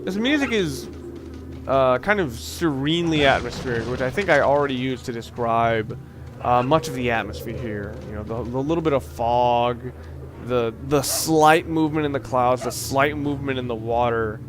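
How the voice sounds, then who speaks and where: A man giving casual, halting gameplay commentary as a let's play host, rambling and thinking aloud as he plays. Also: medium pitch, background noise.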